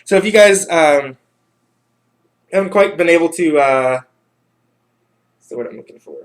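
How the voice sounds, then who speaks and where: A man hosting a livestream in casual chat, halting and often repeating his words. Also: fairly high pitch. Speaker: livestream host.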